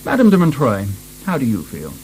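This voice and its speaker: An urbane, dithering official, musing and drily understated, with lively rises and falls in pitch. A faint hiss runs under the radio play. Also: male voice, fairly quick, poor audio. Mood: evasive, bland. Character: urbane, vague, dithering French official chairing a review. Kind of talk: radio drama acting.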